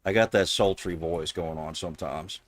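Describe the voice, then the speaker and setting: A man with a deep, gravelly voice, hosting a casual chat with friends in a joking, mock-serious way. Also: moderate pace, over a noisy crowd. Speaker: streamer host.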